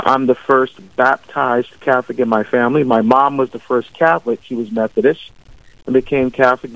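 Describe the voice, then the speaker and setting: A man's voice: medium pitch, creaky, no room sound. A middle-aged man from Barbados, a deacon calling in to a radio show, speaks in a mid-range voice with a preacher's emphasis. The phone line dulls his voice, and it sounds dry, with no room around it. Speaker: deacon guest. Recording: muffled phone-line interview audio.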